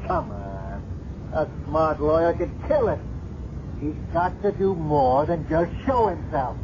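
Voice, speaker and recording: A man's voice: a little high, expressive, slow. An actor playing a cautious, stern policeman arguing bluntly and emphatically in a 1970s radio drama. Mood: firm, exasperated. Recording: dull, noisy old radio recording.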